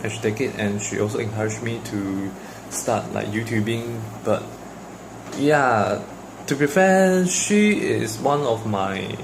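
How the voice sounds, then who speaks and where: A young Malaysian man, Mandarin his first language, speaks slowly and haltingly in a casual personal vlog, his voice sitting a bit low. He pauses often and restarts his sentences. A steady air-conditioner hum runs clearly under his voice in an indoor room. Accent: Malaysian English. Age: young adult. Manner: hesitant, many fillers and restarts. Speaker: vlogger.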